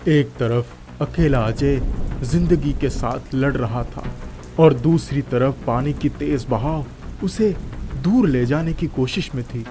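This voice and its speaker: A man's voice: medium pitch, slow pace, dark tone. A man narrating a scripted children's moral story as a storyteller.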